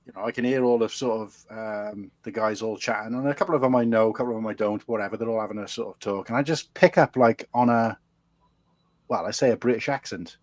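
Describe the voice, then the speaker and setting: A man's voice: fairly low pitch, fast, dry recording. A Welsh man hosting a casual chat show, rambling and friendly, calling people mate. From Wales. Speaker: podcast host.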